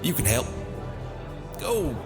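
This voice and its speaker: A man's voice: takes it slowly, over loud music, natural voice. A man playing a playful, easygoing grandfather in audio drama dialogue. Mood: playful, coaxing.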